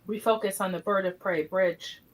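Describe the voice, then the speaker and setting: A woman's voice: mid-range voice, fairly even intonation, moderate pace, poor audio. Woman narrating with faint background noise. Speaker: narrator reading stage directions. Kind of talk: scripted narration.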